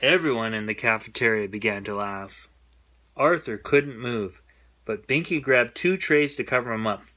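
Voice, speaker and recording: A man reading a children's bedtime story aloud in an expressive storytelling style. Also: medium pitch, normal speed.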